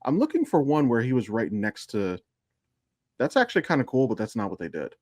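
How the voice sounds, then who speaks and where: A man's voice: mid-range voice, wildly swooping pitch, fairly quick, a little mellow, dry recording, studio quality. A man co-hosting a podcast in casual fan discussion, speaking informally with some swearing. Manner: informal, profane. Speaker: podcast co-host.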